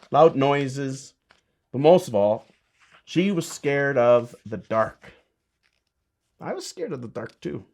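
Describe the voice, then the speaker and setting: A man's voice: mid-range voice, a little mellow. A man, a bishop, reading a children's storybook and chatting to kids with simple, encouraging asides.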